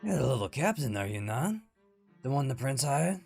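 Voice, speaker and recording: An imperious nobleman in a fantasy audio drama. His voice is creaky and animated, sweeping up and down as he talks down to others in formal, lordly speech. Music plays behind him. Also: medium pitch, normal speed. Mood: condescending. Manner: condescending, formal, questioning. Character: imperious, peculiar lavish nobleman, a royal chancellor.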